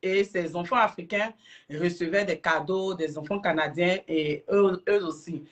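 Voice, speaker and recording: A middle-aged woman with an Ivorian accent, speaking earnestly and deliberately as a guest in a livestream interview. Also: on the low side. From Ivory Coast. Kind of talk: explanatory interview talk on a livestream.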